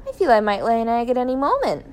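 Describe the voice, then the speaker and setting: A fussing, loving mother bird from a storybook, acted by a woman reader. Her speech is exclamatory and very animated, lifting into higher notes. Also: quick pace. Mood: delighted. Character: fretful, loving mother turned small bird.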